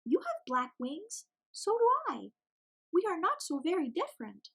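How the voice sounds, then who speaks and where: A woman's voice: extremely high pitch, wildly swooping pitch, normal speed, a bit bright, voice pushed up, full character voice. A woman voicing a small young girl penguin in a storybook, timid but stubborn, with a plaintive, earnest manner. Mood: hopeful, earnest.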